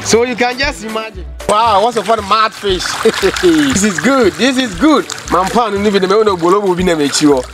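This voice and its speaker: A man in his thirties with a Ghanaian accent, filming an excited outdoor vlog by a forest stream, exclaiming often. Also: high voice, normal speed, few pauses, music in the background. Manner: excitable, exclaiming, mixes local language with English. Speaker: vlogger.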